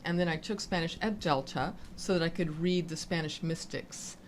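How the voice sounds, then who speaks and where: A woman's voice: on the low side, normal speed, slight background noise. A woman on a writers' panel, speaking thoughtfully and affirming others. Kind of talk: casual panel discussion.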